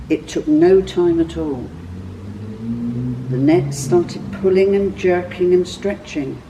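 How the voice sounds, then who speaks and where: A woman reading a scripted children's story as a church storyteller, with expressive, clearly phrased delivery. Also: lowish voice, distant mic, slight background noise, rough recording.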